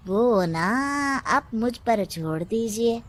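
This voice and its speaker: A woman's voice: on the high side, a little hiss or hum. A woman voicing a cunning male village adviser, wheedling and insinuating as he schemes in a story.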